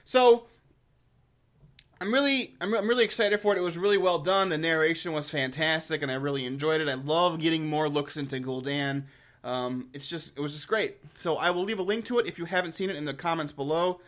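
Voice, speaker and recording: Higher-voiced man rambling enthusiastically on video. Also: fairly bright tone. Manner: informal, enthusiastic, halting with repeated words. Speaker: host of a game lore review channel. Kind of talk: casual rambling video commentary.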